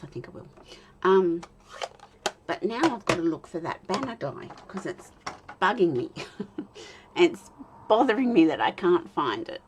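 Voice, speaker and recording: An Australian woman in her fifties, chatting and talking to herself while she demonstrates card making, with a slightly croaky voice. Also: on the low side, a little hiss or hum. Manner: rambling, self-talk with soft laughs. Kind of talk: chatty crafting demo.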